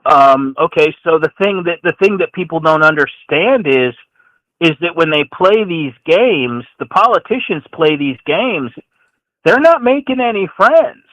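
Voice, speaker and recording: Bright, forceful male talk show caller. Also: on the high side, expressive, a little slow, dry recording, poor audio. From St. Louis, United States. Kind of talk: opinionated casual political talk. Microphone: phone call.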